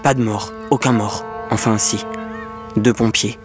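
Actor as troubled technician guy, radio drama monologue, short halting poetic phrases, bit of music behind. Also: lowish voice, fairly even intonation, close to an everyday voice. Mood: troubled, pensive. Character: troubled masked male technician pleading with his measuring device. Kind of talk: French audio fiction monologue.

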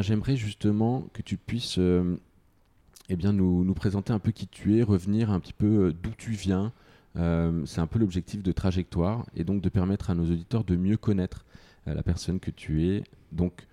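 A man hosting a podcast, reading a smooth scripted intro and then asking questions with hesitant fillers. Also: low-pitched, dark tone, dry recording. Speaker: podcast host.

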